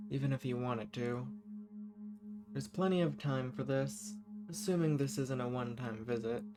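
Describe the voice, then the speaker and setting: A man acts out a seductive banished villain, an ancient and lonely schemer from a roleplay audio drama. He talks intimately to one listener, flirtatious and teasing with a theatrical turn. His voice sits at a middling pitch over clearly audible music. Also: close to an everyday voice. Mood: scheming, intrigued.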